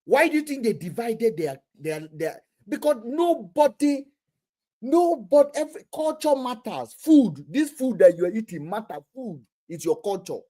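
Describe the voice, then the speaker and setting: Emphatic Nigerian man with high voice. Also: expressive, normal speed. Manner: forceful, questioning, emphatic. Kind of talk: heated casual debate.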